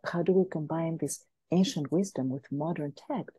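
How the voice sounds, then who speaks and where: A woman's voice: deep voice, moderate pace, warm tone, slightly rough, no echo. A woman who grew up in France, a business coach speaking as a podcast guest in an earnest, motivational way. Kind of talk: podcast interview talk. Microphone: podcast mic.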